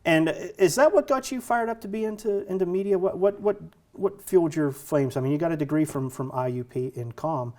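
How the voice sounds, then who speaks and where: A man's voice: fairly high pitch, a little fast, few pauses, darkish tone. Middle-aged male host, stammering questions. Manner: conversational, stammers and repeats words when asking questions. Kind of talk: casual interview chat. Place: studio.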